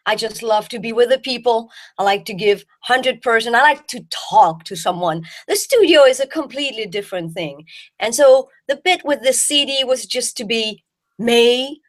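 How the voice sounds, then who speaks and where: A woman's voice: fairly high pitch, moderate pace. A woman from Belgium, a jazz singer and guest, chatting with enthusiasm and often restarting her words. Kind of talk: casual chat.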